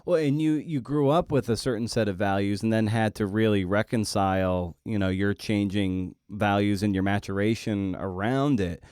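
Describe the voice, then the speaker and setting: Lowish male podcast host, halting phrasing. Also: a little mellow, smooth, dry recording, clean studio sound. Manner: halting, searching phrasing with repeated starts. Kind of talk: casual interview conversation.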